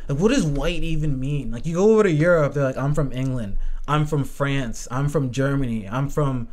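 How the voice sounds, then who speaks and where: Man, casual talk, rambling, lots of "like", swears freely, medium pitch. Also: normal speed, darkish tone. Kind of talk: casual chat.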